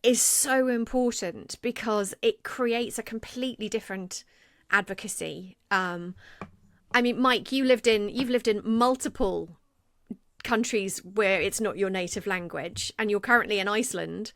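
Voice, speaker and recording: A woman's voice: mid-range voice, measured pace, no room sound, clean studio sound. A woman co-hosting a podcast, telling a work story in a conversational way and acting out quoted lines. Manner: animated, acts out quoted speech. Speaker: podcast co-host.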